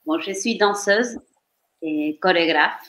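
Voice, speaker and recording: A woman in her fifties from Argentina with an Argentine Spanish accent, a dancer and choreographer answering interview questions slowly and carefully. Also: lowish voice, a bit bright. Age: 50s.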